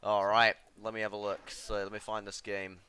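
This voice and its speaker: A man's voice: lowish voice, completely flat delivery, moderate pace, bright, forceful tone, clean tone. A man running a live card game stream, chatting casually and laughing easily as he commentates. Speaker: stream commentator and tournament organiser.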